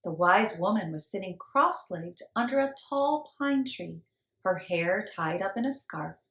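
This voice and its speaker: A woman reading a children's story aloud in an expressive, theatrical and deliberate way. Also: mid-range voice. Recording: roomy echo with background noise.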